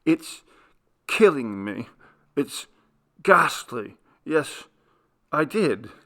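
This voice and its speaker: Male narrator as anguished man, slow halting delivery, gravelly creak, haunted ghost story character. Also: very animated, sharp tone, exaggerated voice. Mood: tearful confession. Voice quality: breaking, low, trembling voice. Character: anguished, frightened man confessing a dreadful secret.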